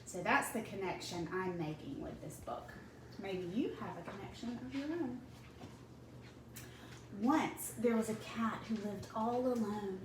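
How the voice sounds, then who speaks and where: A woman with a fairly high voice reads a children's story slowly and deliberately, breaking off to put questions to her young listeners. The recording is roomy and a little distant from the mic, with noticeable noise behind her. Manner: slow, deliberate phrasing for children. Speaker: teacher reading a picture book to children. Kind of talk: read-aloud storytelling with asides to young listeners.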